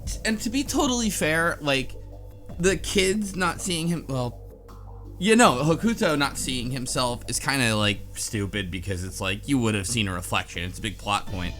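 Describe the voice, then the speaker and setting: Animated man in casual discussion. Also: mid-range voice, moderate pace, faint music behind. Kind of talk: casual group discussion of a game.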